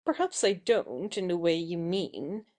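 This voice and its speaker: A woman playing a playful, wilful young actress in an audio play, brisk and coquettish with her suitor. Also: lowish voice, lively intonation, darkish tone. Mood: winning, playful.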